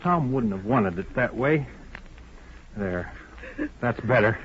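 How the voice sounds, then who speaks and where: A man playing a loyal, soft-hearted cowboy sidekick, rustic and halting, with a Western American accent in radio western style. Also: mid-range voice, expressive. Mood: earnest. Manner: rustic, plain-spoken, halting. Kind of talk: 1940s radio western acting. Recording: dull old radio recording, faint noise.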